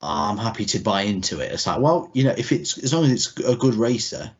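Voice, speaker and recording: A man co-hosting a podcast in casual chat, talking in a rambling, halting, informal way. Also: fairly low pitch, big pitch swings, husky. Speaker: podcast co-host. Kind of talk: casual chat about retro cartoon video games. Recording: dull, muffled lo-fi audio.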